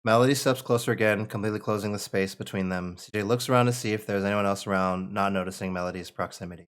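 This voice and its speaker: Low-voiced man narrating a script. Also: steady tone, fast talker, smooth. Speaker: table read narrator. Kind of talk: reading screenplay stage directions aloud, with casual asides.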